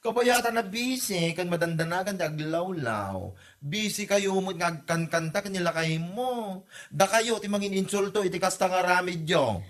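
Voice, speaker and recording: A man's voice: high voice, lively intonation, moderate pace, fairly bright tone. A man acting a scolding, gossipy villager in an Ilocano comedy audio drama, with an Ilocano accent. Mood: scolding, mocking.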